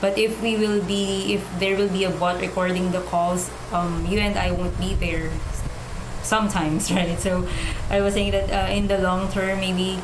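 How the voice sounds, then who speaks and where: Female, monotone, informal meeting talk, feeling out her point, clear background noise. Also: medium pitch, moderate pace. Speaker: working group participant. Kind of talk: casual meeting discussion. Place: online group call. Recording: echoey room, noisy background.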